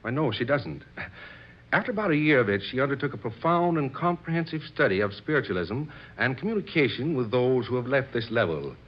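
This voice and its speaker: Actor as effusive husky gentleman, swooping delivery. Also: mid-range voice, lively intonation, a little fast, natural voice. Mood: eager, explaining. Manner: theatrical, effusive, old-fashioned clubman's speech. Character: excitable, devoted gentleman enthusiast of séances, blustering yet courteous. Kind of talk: old-time radio drama acting. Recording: dull old radio recording.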